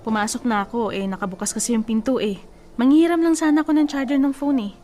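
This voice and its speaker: A woman's voice: fairly high pitch, steady tone, fast, dark tone, a little hiss or hum, lightly acted. A woman acting a blunt, exasperated young female cousin who scolds and talks in a sassy, colloquial way in a Tagalog radio drama. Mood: nosy, goading.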